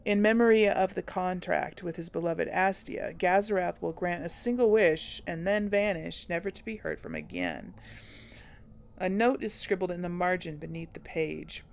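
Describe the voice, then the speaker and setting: Woman let's play host with a gravelly edge, chatty, talks to viewers while she plays. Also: fairly low pitch, moderate pace. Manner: reads game text aloud in an even voice. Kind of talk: casual gameplay commentary.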